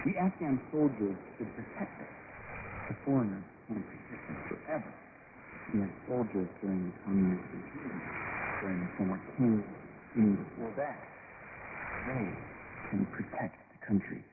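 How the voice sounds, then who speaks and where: A man's voice: fairly low pitch, phone-quality sound. Man giving testimony over crackly shortwave. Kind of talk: interview testimony in short statements. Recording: noisy band-limited shortwave radio broadcast.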